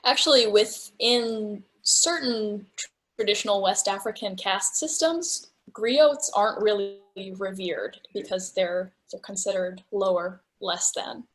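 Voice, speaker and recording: A woman's voice: medium pitch, unhurried, poor audio. A woman with a mid-range voice speaks in a group discussion. She is thoughtful and articulate, laying out her ideas as a reflective explanation. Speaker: book club discussion participant. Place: virtual discussion video call.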